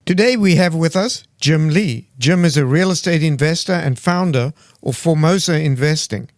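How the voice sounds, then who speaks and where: A man's voice: on the high side, normal speed, no echo, clean studio sound. A man co-hosting a podcast interview, upbeat, reading a polished guest introduction. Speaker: podcast co-host.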